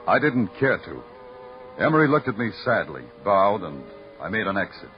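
A brooding, hard-boiled detective telling his case in noir radio style. The delivery is terse and weary, with wide swings of pitch. It comes through as a muffled old broadcast with music. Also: big pitch swings, normal speed. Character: hard-boiled, world-weary male New York night-shift police detective. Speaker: lead actor and narrator. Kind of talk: noir radio drama narration. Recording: dull vintage radio recording.

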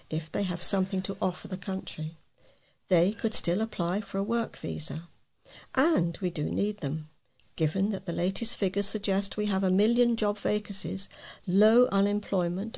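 A woman reading letters aloud as a volunteer news reader, in a measured way with the odd stumble. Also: mid-range voice, creaky, darkish tone.

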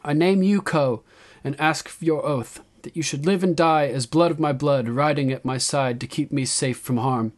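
A man's voice: a little high, moderate pace, voice pushed up, lightly acted. A resolute young queen, acted out by a male audiobook reader, speaks in a raised, projected voice. She is regal and solemn, declaiming to her followers with firm command. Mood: commanding, ceremonial. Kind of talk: audiobook character acting.

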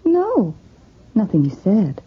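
A woman's voice: medium pitch, huge pitch swings, slow, breathy edge, heavily acted. An actress playing a mysterious, seductive woman in a radio drama, teasing and sultry. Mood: coolly seductive. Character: mysterious seductive woman who drains her lovers. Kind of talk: 1980s radio drama acting. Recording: dull lo-fi radio broadcast with noise.

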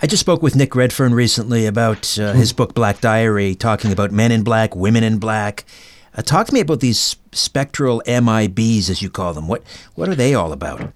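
A man's voice: fairly low pitch, lively intonation, brisk. A man hosting an interview, remarking and putting questions to his guests in a broadcast style. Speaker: host, interviewer.

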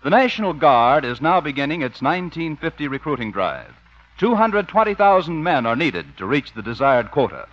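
A man's voice: on the high side, sing-song, normal speed, no room sound. A man announcing a 1950s radio show, reading scripted narration with polished, dramatic emphasis. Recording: muffled vintage radio, music behind.

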